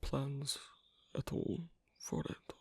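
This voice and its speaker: A performer playing a shy, socially awkward werewolf on a first date in a soft ASMR roleplay, with a breathy, husky voice and halting, hesitant, terse delivery. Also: dry recording, studio quality. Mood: shy, reserved.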